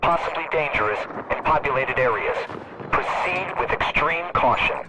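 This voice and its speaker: A man playing a serious task force dispatcher in a video game, giving official, measured warnings. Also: a little high, animated, very noisy, close to an everyday voice. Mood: urgent, official. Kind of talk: video game radio announcement.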